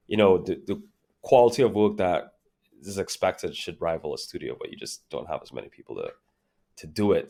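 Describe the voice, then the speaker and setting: Low, gravelly male guest from Trinidad and Tobago; relaxed, reflective, filler-heavy delivery. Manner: relaxed, reflective, many fillers like "like" and "you know". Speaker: guest, creative director. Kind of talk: casual interview conversation.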